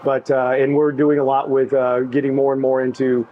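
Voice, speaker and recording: A middle-aged man talking about his work in an easygoing, halting way, with many restarts. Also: medium pitch, little pitch movement, narrow, tinny audio, slight background noise. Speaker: tech executive, interviewee. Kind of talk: casual backstage interview.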